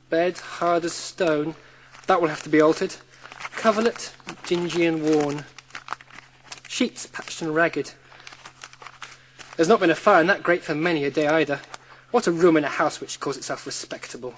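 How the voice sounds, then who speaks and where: A man playing a brisk, practical secretary with a businesslike, clipped manner in a dramatised audiobook. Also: on the high side, slight background noise, lightly acted. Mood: brisk, disapproving. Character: brisk, practical gentleman's secretary surveying a room.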